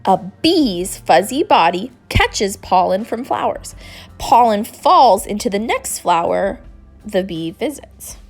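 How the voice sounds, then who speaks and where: A woman reading a children's book aloud in an expressive, scripted narrating style. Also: mid-range voice, takes it slowly, slightly rough, soft background music. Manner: expressive, acts out dialogue. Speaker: storybook reader.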